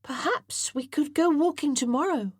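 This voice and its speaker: A woman's voice: high voice, moderate pace, no room sound, clean studio sound, voice pushed up, natural voice. A woman voicing an earnest, well-bred young boy who speaks in a precise, formal way. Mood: pleading.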